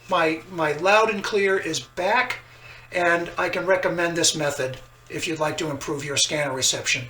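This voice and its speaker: A man with a fairly high, bright voice presents a hobby topic in a plain, methodical way. He sounds a little far from the microphone in a roomy space. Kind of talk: explanatory hobby talk to camera. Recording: roomy, distant mic, faint background noise.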